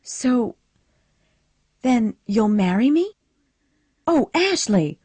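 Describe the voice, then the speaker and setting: A woman's voice: on the high side, sing-song, slow, warm tone, husky, dry recording, voice pushed up, exaggerated voice. A woman voicing a headstrong young woman pleading breathlessly. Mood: eager. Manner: breathless, pleading, rising emotion.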